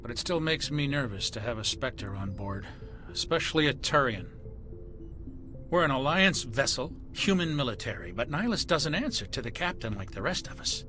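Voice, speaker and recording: A wary male ship's officer in a video game. He is respectful yet emphatic and suspicious, his mid-range voice rising and falling in lively swings. Background music is clearly present. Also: mid-range voice, normal speed.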